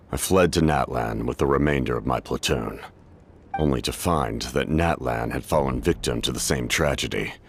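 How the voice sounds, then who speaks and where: Very deep, gravelly ancient warrior. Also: male voice, slight background noise. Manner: grave, measured, formal. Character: ancient cursed male masked warrior, stoic, weary and honorable. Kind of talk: video game voice acting.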